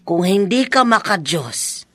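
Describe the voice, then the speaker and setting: Radio horror psychic: elderly woman, stern and devout, slow delivery, sharp tone, dry studio sound. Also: medium pitch, sing-song, husky, dry recording, full character voice. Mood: stern, solemn. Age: older. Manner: deliberate, admonishing. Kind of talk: Filipino radio horror drama acting.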